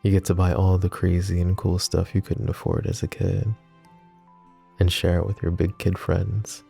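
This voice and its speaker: Deep gravelly male voice, man acting as smitten mafia boss, possessive, flirty, soft teasing banter, intimate ASMR roleplay. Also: completely flat delivery, moderate pace, soft background music. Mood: warm, flirty.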